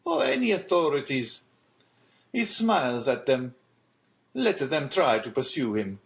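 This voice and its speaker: A boastful boat's patron from the Mediterranean, acted out by a male audiobook reader. His voice is pitched up and lively. He talks emphatically, full of admiration, as if spinning a sailor's tale. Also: unhurried, creaky. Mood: boastful, amused.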